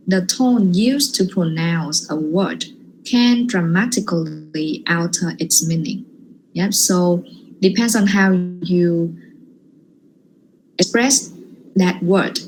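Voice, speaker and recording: A woman's voice: fairly low pitch, a little hiss or hum, poor audio. A Vietnamese woman with a Vietnamese accent giving a workshop lecture over a video call, hesitant, with many "yeah" and "um" fillers. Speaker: guest lecturer.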